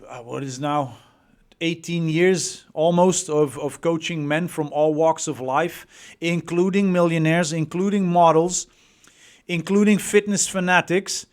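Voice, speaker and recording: A high-voiced man with a Dutch accent delivers a motivational coaching talk at an unhurried pace. He leans on repetition and rhetorical questions to drive his points home. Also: steady tone, clean tone. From Netherlands. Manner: emphatic, repeats words, rhetorical questions. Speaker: dating coach.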